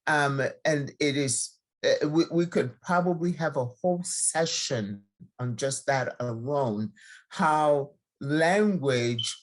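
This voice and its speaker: A woman from Nigeria, a county judge, speaking slowly and haltingly with many fillers on a video call. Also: low-pitched. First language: a Nigerian language. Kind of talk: virtual panel remarks.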